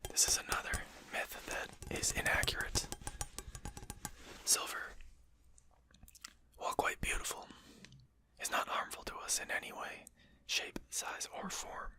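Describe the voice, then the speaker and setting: A performer voicing a composed, courteous, faintly mocking vampire in a breathy, articulate whisper for an ASMR roleplay. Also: natural voice. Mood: calm, smug. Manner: slow, articulate, formal, gently mocking. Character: composed, courteous, condescending vampire addressing a hunter.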